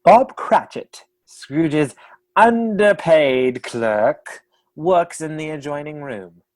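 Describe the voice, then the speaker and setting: A man playing an author who narrates his own story in a measured storyteller's delivery. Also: on the high side, expressive, darkish tone, dry recording. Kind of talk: scripted narration in a radio play.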